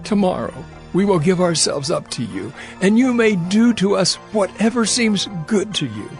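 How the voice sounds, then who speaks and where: A man playing a pleading elder of a besieged ancient town in a dramatized scripture story, speaking formally in a husky voice. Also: high-pitched, sing-song, normal speed, faint music behind. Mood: submissive.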